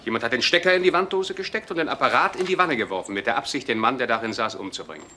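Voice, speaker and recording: An actor playing an assertive, emphatic police detective in a radio crime play. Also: male voice, medium pitch, lively intonation, rapid-fire, pushed and bright, slight background noise. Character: emphatic male detective convinced of murder. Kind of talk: German radio crime drama acting.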